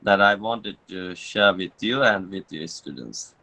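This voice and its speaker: A man's voice: low voice, unhurried, creaky, sharp tone. A man who is a Buddhist monk, born in Bangladesh, talking casually with many filler sounds and chuckling at his own jokes. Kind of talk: conversational talk with fillers.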